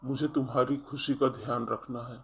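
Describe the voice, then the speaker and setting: Man playing a young brother, husky medium-pitched voice, earnest, protective, defiant, cartoon melodrama. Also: little pitch movement, moderate pace, a bit bright. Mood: concerned, resolute. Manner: earnest, firm. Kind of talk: melodramatic Hindi cartoon story dialogue.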